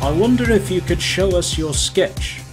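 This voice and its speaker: A man's voice: high voice, lively intonation, normal speed, over music. A man voicing a stern, proud young prosecutor in a game dub, formal and pointed in his speech. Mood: uneasy. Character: stern, haughty young male courtroom prosecutor, suspicious and proud. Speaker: fan dub voice actor. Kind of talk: dramatic video game dub acting.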